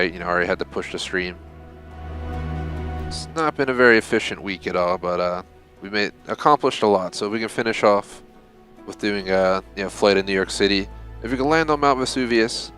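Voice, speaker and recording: A man's voice: sits low, fairly bright tone. A young man giving casual, rambling live commentary while playing a game, swearing when frustrated. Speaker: game streamer.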